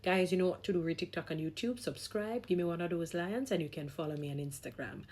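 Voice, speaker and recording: A woman's voice: on the low side, fast, darkish tone, a little hiss or hum. A woman vlogger giving opinionated talk in an emphatic, exhorting manner, repeating phrases for stress. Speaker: vlogger, gospel singer.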